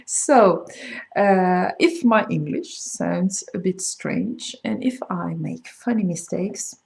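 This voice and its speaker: A middle-aged woman with a Swedish accent, a crochet designer talking casually to camera and explaining things with frequent pauses and fillers. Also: on the low side, gravelly, a little mellow. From Sweden. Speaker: crochet designer hosting a video podcast. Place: home in France.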